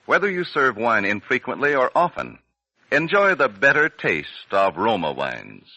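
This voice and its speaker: A man announcing a 1940s radio advertisement, polished and emphatic with deliberate phrasing. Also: mid-range voice, very animated, sharp tone, no echo, highs cut off, soft background music. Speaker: radio announcer and sponsor spokesman. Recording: dry vintage 1940s radio recording.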